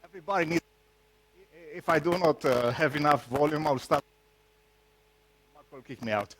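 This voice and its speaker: A man from Romania with a Romanian accent gives an informal tech talk, speaking haltingly and teasing his audience with jokes. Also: a little high, a bit bright, poor audio. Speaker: conference speaker, solution architect.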